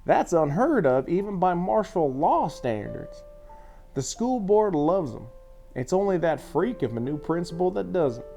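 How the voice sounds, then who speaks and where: A man's voice: high-pitched, big pitch swings, unhurried, vocal fry, warm tone, voice pushed up, full character voice. Guy doing a tired blunt cop dad in an audiobook, voice pushed up a bit, music behind. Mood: firm. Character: weary sheriff father, ex-military, blunt but loving.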